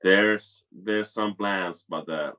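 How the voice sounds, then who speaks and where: A deep-voiced man with a Finnish accent sits in as a podcast guest. He talks slowly and says little, in short phrases broken by hesitations. His English is halting. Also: bright, forceful tone. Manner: hesitant, few words. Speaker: band member, guest. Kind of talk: casual interview chat.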